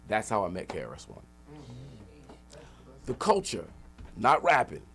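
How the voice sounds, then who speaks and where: A man's voice: on the low side, huge pitch swings, measured pace, creaky, a bit bright, faint music behind. A middle-aged man telling stories in an emphatic, streetwise, slangy way as a radio interview guest. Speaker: interview guest, rapper and activist. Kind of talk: animated storytelling in a radio interview.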